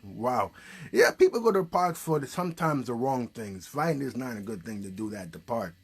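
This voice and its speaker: A man's voice: medium pitch, animated, brisk, breathy edge. A man hosting a puppet show, chatting casually and encouragingly and prompting with questions. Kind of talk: casual, unscripted chat.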